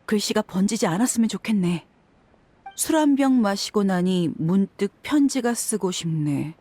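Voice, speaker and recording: A woman's voice: medium pitch, expressive, moderate pace, warm tone, no echo, natural voice. A woman voicing a frank young desert mercenary, tough but caring, with a casual manner in a dramatic game scene. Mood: curious.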